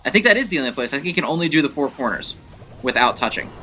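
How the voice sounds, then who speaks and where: A man giving casual gameplay commentary, thinking aloud in a halting, self-correcting way as he explains things. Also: on the high side, animated, bright, forceful tone, faint music behind, rough recording. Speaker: gaming video host. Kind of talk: casual rambling game commentary.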